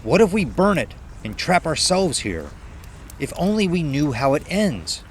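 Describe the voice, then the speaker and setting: A man acts out a commanding male leader in a horror audiobook. He is earnest and insistent, with his voice raised in pitch and lively in inflection. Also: unhurried, slight background noise. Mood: urgent. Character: steady, commanding man, leader of frightened friends.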